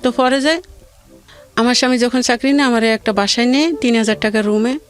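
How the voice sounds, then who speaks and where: Woman, fairly high voice. Plaintive, halting guest recounting personal hardship. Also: steady tone, soft background music. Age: adult mother of two. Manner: plaintive, rambling, halting with repeated phrases. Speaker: guest telling her life story. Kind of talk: personal hardship testimony in conversation.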